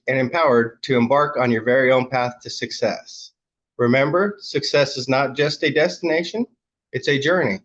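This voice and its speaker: Upbeat male podcast host, slightly dull audio. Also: fairly high pitch. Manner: upbeat promotional read, then brief affirmations. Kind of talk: scripted intro then casual interviewing.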